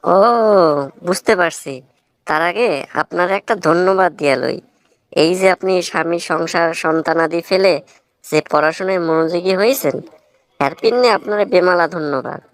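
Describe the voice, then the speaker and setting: A low-set, close and dry voice for an earnest young village man , acted by a woman. The Barisal accent is thick with country flavor. The student talks a lot and lectures bluntly, in cartoon comedy style. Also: normal speed, no echo, lightly acted. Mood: polite, apologetic. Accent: Barisal Bangla. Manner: rustic, talkative, bluntly moralizing.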